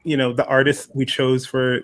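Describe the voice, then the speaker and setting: A man's voice: medium pitch, level tone, moderate pace. Man hosting an informal conversation. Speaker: host, festival producer. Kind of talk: hosting an online festival conversation.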